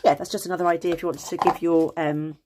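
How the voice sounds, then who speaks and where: A woman with a slightly croaky voice, chatting casually through a craft tutorial with lots of ums and self-corrections. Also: fairly low pitch, little pitch movement, fast, background noise. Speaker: crafting channel host.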